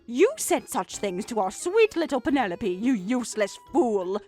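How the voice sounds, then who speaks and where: A woman's voice: on the high side, big pitch swings, normal speed, a little mellow, soft background music. A woman voicing a stern older mother-in-law, furious and scolding, in a narrated story. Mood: cold fury.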